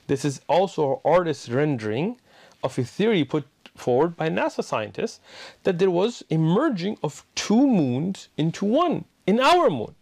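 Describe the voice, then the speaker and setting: A man's voice: a little high, a little mellow. A man giving an explanatory religious talk in an emphatic manner, posing rhetorical questions to his audience. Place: indoor room with bookshelves.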